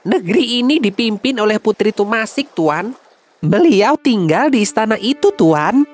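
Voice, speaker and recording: A woman's voice: fairly high pitch, expressive, normal speed, a little mellow. A woman playing a humble, friendly fisherman in a children's story, with a polite, eager manner.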